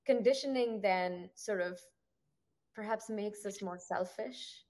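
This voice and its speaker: A woman's voice: medium pitch, slow pace, a little mellow. A woman hosting an interview, asking long, reflective questions dotted with "you know". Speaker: podcast host, interviewer.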